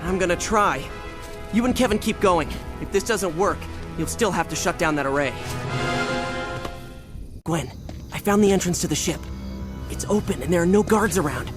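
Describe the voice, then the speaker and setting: A man voicing a confident, wry teenage boy hero in an animated action game, with a casual delivery. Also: high-pitched, normal speed, pauses often, close to an everyday voice. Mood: eager, confident. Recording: loud music behind the voice.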